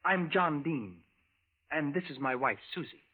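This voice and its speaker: An actor playing an oddly formal, polite stranger in an old radio drama, speaking with stilted precision. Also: male voice, a little high, lively intonation, measured pace, husky. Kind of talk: 1950s radio drama acting. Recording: thin, phone-like vintage radio recording.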